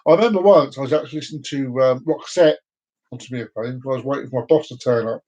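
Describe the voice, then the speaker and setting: A man's voice: mid-range voice, fast, a little mellow. A man hosting a casual solo live stream, rambling and self-mocking, breaking into off-key singing now and then. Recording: dull lo-fi sound.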